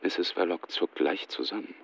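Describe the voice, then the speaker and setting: Deep, gravelly man giving terse scene descriptions. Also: normal speed. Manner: terse, matter-of-fact. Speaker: scene narrator in a radio play. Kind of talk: present-tense scripted scene description. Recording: slightly dull sound with faint noise.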